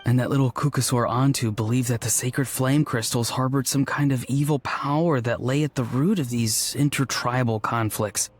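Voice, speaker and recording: A man voicing a serious, level-headed character in an anime-style video game, explaining in a measured way. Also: mid-range voice, fairly even intonation, close to an everyday voice. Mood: grave.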